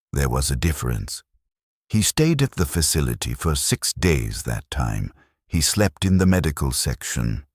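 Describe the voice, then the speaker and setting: A man narrating a scripted first-person war story in a grave, measured way. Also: rumbling low, a little mellow, no room sound, studio quality.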